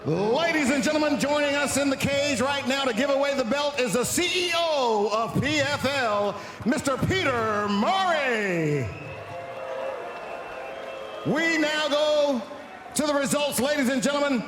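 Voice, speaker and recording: A man working as a ring announcer, delivering a formal, theatrical, drawn-out fight announcement with a booming projected voice. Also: animated. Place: MMA cage in a crowded arena. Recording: echoey arena PA with crowd noise.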